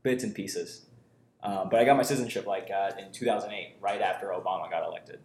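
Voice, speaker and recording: A man's voice: fairly even intonation, brisk, darkish tone. A man in his twenties from Maryland, chatting casually as a guest on a podcast. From Maryland, United States. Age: 20s. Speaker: podcast guest, improv comedian. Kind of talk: casual chat. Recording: roomy echo, faint low rumble.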